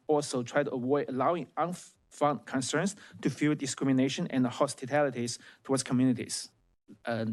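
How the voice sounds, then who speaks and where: A man's voice: mid-range voice, darkish tone. A man closing his testimony at a public hearing, hesitant, with frequent fillers and restarts. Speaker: public witness.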